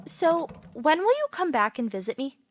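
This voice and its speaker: A woman's voice: sits high, very animated, moderate pace, soft background music. An actress playing a caring young woman, frank and full of questions, in an audio play. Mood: curious, caring. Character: frank, caring young woman, the troubled man's childhood friend.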